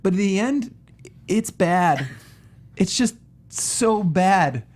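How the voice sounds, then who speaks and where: A man's voice: high voice, lively intonation, slow pace, darkish tone. A man co-hosting a talk show, chatting casually about a film in a wry, slangy way. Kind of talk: casual movie review banter.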